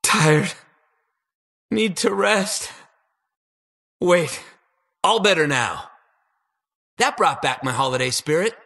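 An actor playing an eager, anxious and boastful toy-inventor hero in a video game, with a husky, slightly awkward delivery. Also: male voice, fairly high pitch, expressive, slow, long pauses, sharp tone, heavily acted. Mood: weary. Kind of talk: video game battle voice lines.

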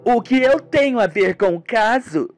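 A man's voice: very high-pitched, lively intonation, moderate pace, soft background music, voice pushed up. A man voicing a young, wealthy woman who runs a ranch, defensive and flustered, in a radio soap. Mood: nervous, defensive. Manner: startled, questioning.

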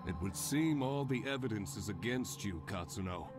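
A man's voice: on the low side, lively intonation, normal speed, close to an everyday voice. An actor playing a stern, accusing male store manager in a visual novel, formal and condescending. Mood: cold, authoritative. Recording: music behind.

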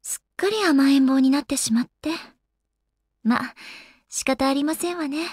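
Casting: actress for refined, playful young goddess in an anime game, high voice, genteel diction, very dry close sound. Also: female voice, normal speed, darkish tone, dry recording, lightly acted. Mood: gentle, reluctant. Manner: polite, ladylike, genteel phrasing. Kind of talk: Japanese anime game voice acting.

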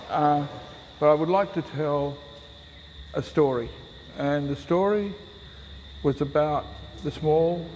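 A man's voice: mid-range voice, darkish tone. An older man giving an informal speech as a guest at an event. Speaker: guest speaker. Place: large indoor gallery hall, audience present. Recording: noisy echoing hall.

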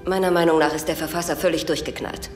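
An actress playing a confident, flirtatious psychologist in a radio play. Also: female voice, sits low, steady tone, fast, lightly acted. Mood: poised. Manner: poised, teasing. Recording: music playing behind the voice.

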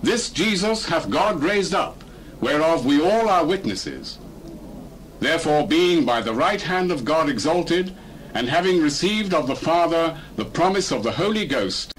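A fervent, sweeping apostle preacher. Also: male voice, a little high, sing-song, measured pace, a little hiss or hum, low-quality recording. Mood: solemn proclamation. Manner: solemn, emphatic oratory. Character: Peter, fervent apostle preaching boldly to crowds. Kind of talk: dramatized Bible reading, declamatory.

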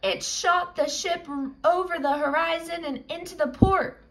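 A woman reading a children's picture book aloud, with expressive, suspenseful storytelling. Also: very high voice, measured pace. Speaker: storybook reader.

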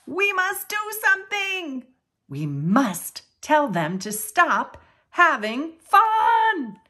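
A woman's voice: high-pitched, wildly swooping pitch, very slow, bright, forceful tone, voice pushed up, exaggerated voice. A woman voicing an anxious, dramatic male elephant in a picture-book story, given to exclaiming. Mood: panicked.

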